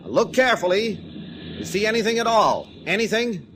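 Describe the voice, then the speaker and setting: A man's voice: sits high, sing-song, a little fast, fairly bright tone, slight background noise, poor audio. An actor playing a middle-aged male insurance adjuster and pilot in a 1940s radio drama, easygoing, persuasive and patient. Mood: instructive.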